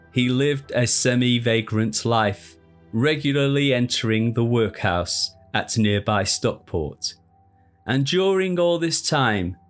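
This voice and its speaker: A man reading a scripted ghost story in a measured, deliberate, formal storytelling manner. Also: medium pitch. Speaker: narrator. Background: music.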